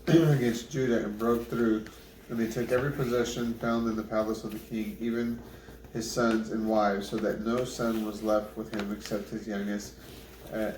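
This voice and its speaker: A man reading scripture aloud in a plain, unpolished way, halting and correcting himself over names. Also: on the low side, darkish tone. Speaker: Bible reader. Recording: roomy, distant mic, clear background noise.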